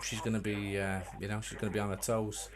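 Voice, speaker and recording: A man making a prank call as a customer, speaking in a deadpan, mock-earnest way with hesitant, repeated phrases. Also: on the low side, fast talker, a crowd in the background. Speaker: prank caller.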